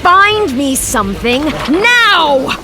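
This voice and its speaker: A woman's voice: sits high, big pitch swings, very noisy, heavily acted. A woman playing an imperious, villainous fleet commander in a sci-fi audio drama, haughty and impatient, drawing out her orders. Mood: cold, demanding. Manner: haughty, slow, drawn-out commands.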